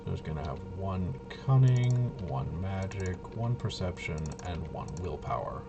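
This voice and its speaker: A man with a fairly low voice talks casually through a tabletop game walkthrough. He thinks aloud haltingly, often muttering to himself as he goes. Clear background noise sits behind his voice. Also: very animated, lo-fi sound. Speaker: host of a tabletop RPG video. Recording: noisy room, clearly audible background noise.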